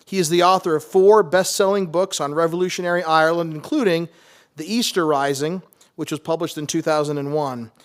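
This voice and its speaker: A man hosting a lecture event, reading a formal introduction of the guest speaker in a large hall. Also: a little high. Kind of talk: read introduction.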